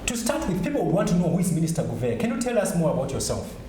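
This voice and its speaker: A man's voice: fairly high pitch, sing-song, rapid-fire. A man with a Zimbabwean accent hosting an interview show and putting questions to his guest. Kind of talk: broadcast interview with code-switching. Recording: echoey room with faint noise.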